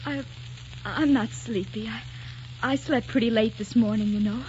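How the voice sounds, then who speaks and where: An actress playing a frail, gentle, devoted wife, tender and hesitant, in a 1940s radio romance. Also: female voice, a little high, moderate pace, a little hiss or hum, close to an everyday voice. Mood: tender, concerned. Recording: muffled 1940s radio broadcast, music behind.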